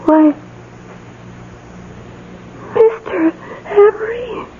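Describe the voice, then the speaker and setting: High-voiced, lovelorn, fluttery housemaid. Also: female voice, exaggerated voice. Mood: amazed. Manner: wistful, fluttery, halting. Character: lonely, lovestruck middle-aged housemaid, timid and breathless. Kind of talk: old-time radio drama acting. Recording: tinny band-limited old radio broadcast, faint noise.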